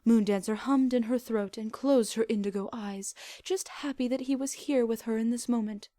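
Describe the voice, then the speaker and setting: A woman reading fan fiction aloud as an expressive scripted narration. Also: a little high, moderate pace, no echo, clean studio sound.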